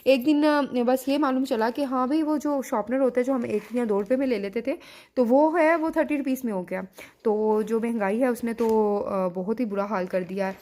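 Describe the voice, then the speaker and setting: A woman's voice: fairly high pitch, normal speed, mellow. A woman in her thirties with a Pakistani Urdu accent, chatting casually in a home vlog and talking to her children. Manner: chatty, scolds and coaxes children. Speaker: vlogger and mother. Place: home and restaurant.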